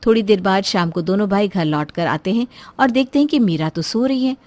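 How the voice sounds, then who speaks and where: A woman narrates a scripted moral story as its storyteller. Her voice is medium in pitch. The recording is dry, with no room sound. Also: expressive, brisk, a little mellow, no echo.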